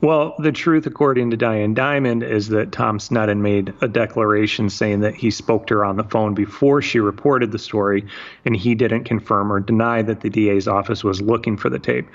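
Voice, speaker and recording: Low-voiced man narrating, lo-fi sound. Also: steady tone, normal speed. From Michigan, USA. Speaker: podcast host. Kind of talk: scripted narration mixed with casual chat.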